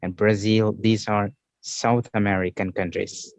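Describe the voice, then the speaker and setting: A man interviewing a guest, asking questions in slow, searching English. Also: lowish voice, level tone, dry recording, highs cut off.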